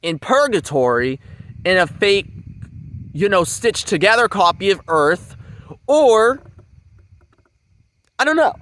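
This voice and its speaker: Slow-talking, high-pitched man rambling to viewers. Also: sing-song, a bit bright. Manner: repetitive, emphatic phrasing. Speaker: vlogger. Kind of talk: rambling informal monologue to viewers.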